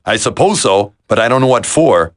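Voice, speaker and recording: A man's voice: medium pitch, expressive, normal speed, lightly acted. A man voicing a friendly, practical young soldier teammate in a video game. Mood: calm, practical.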